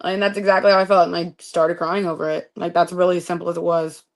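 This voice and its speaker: A young adult woman telling a story in casual conversation, expressive and full of vivid detail. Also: on the low side, quick pace.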